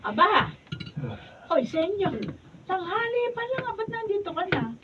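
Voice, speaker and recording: A woman's voice: very high-pitched, very animated, measured pace, full character voice. A woman acting an encouraging, devout wife and friend in a Filipino radio drama. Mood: teasing, encouraging. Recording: distant, muffled, noisy studio camera audio.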